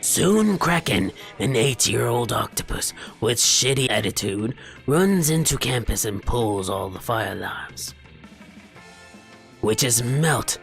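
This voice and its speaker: Animated man narrating a game playthrough. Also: medium pitch, vocal fry. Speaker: gaming video host. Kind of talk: game playthrough narration, reading aloud with casual commentary.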